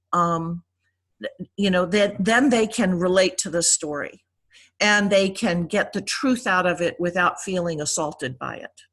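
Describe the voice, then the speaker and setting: A woman teaching a class informally, explaining deliberately and pausing to search for words. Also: mid-range voice, fairly bright tone. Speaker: priest teaching a Bible class.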